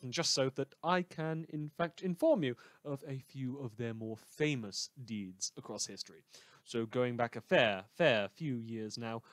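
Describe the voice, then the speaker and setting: A man's voice: medium pitch, no echo, natural voice. A man playing a smug, rambling small-town radio news host in a fan audio drama, theatrical and wry, repeating phrases for emphasis.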